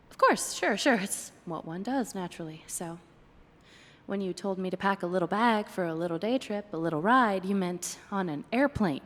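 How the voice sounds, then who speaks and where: A woman's voice: mid-range voice, close to an everyday voice. Playfully sarcastic woman acting a flirty date, long reverb. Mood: incredulous, playful. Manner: playful, dryly sarcastic, hesitant asides. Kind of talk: ASMR roleplay, one-sided dialogue.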